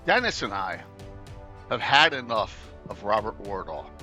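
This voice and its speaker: A man in his late fifties hosting a casual chat show, joking and rambling excitedly. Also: on the low side, measured pace, soft background music. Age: 50s. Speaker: podcast host.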